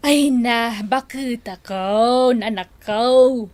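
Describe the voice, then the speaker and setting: A woman's voice: fairly high pitch, very slow, darkish tone. A woman acting a worried, earnest elderly mother pleading with her son in a radio drama.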